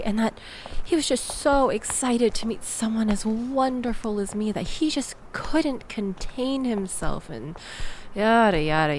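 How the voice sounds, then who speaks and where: A woman's voice: fairly high pitch, a little hiss or hum, poor audio. A woman playing a sardonic young woman venting about a bad date in an intimate audio roleplay, wry, wordy and exasperated. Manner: wry, exasperated, wordy, theatrical emphasis with sighs and groans.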